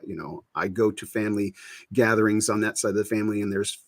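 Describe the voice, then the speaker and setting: A man's voice: on the low side, fairly even intonation, fast talker, no echo, clean studio sound. A middle-aged man hosting a casual chat show, rambling and cutting himself off, often laughing. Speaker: show host.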